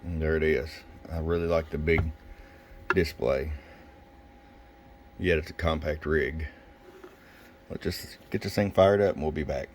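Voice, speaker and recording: Man, extremely low pitch, plain-spoken shop technician, informal product walkthrough, faint background noise. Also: steady tone, a little slow, long pauses. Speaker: radio shop technician and presenter. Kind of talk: casual hands-on product demo, off the cuff. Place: indoors at a radio workbench.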